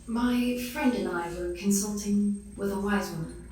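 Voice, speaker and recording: A woman's voice: medium pitch, a little slow, darkish tone, close to an everyday voice. Woman playing a troubled noble lady, echoing. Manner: formal, emotionally fraught. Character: troubled noble clockwork lady, devout and earnest. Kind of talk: fantasy audio drama. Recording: heavy echo, distant, music underneath.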